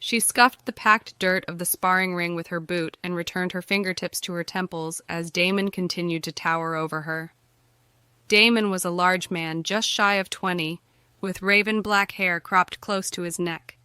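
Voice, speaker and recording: A woman's voice: lowish voice, fairly even intonation, moderate pace, pushed and bright, clean tone, dry recording. A woman reading scripted fiction narration for an audiobook.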